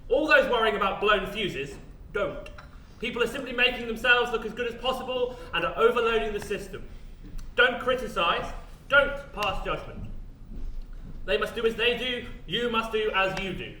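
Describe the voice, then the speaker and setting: A man's voice: sits high, expressive, normal speed, pushed and bright. An actor playing a droll, officious male overseer, giving formal and pedantic announcements in a stage play. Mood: droll, brisk. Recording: roomy distant stage sound with noise.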